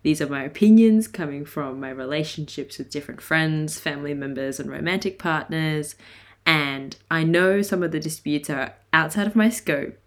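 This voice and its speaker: A woman in her twenties with a hoarse, raspy voice, chatting casually and laughing often as she hosts her podcast. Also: sits low, moderate pace. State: hoarse from a night of singing. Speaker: podcast host. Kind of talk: casual solo podcast chat.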